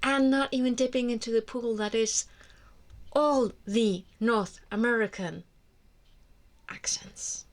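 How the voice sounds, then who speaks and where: A woman's voice: fairly high pitch, takes it slowly, pauses often. A woman from Iceland, speaking English as a second language with an Icelandic accent, chatting to camera in a rambling way that wanders off on tangents. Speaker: book vlogger. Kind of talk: casual monologue to camera.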